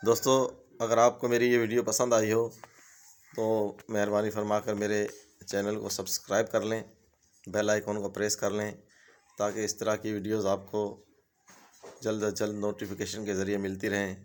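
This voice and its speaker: A man's voice: on the low side, completely flat delivery, normal speed. A man, steadily reading out a list of home remedy tips as a channel host.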